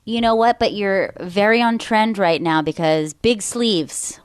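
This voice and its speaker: A woman's voice: mid-range voice, a little slow, clean tone, dry recording, clean studio sound. A woman co-hosting a comedy talk show in a casual, conversational style.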